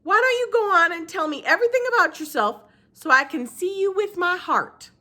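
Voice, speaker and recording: A woman voicing a kindly, wise old woman with poor eyesight and a drawling Southern accent, pitched high and loud. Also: lively intonation, brisk, full character voice. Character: old woman with bad eyes, warm and wise.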